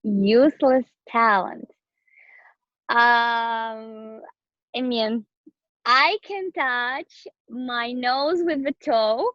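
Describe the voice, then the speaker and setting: A woman's voice: a little high, drawn-out words, no room sound, muffled recording. A bubbly woman chatting casually as an interview guest, a professional dancer whose native language may be Romanian. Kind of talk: casual chat.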